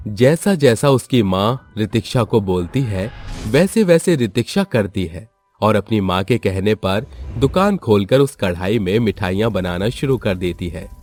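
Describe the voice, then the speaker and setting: A man's voice: on the low side, expressive, a little slow, darkish tone. A man narrating a scripted moral story for children. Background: background music.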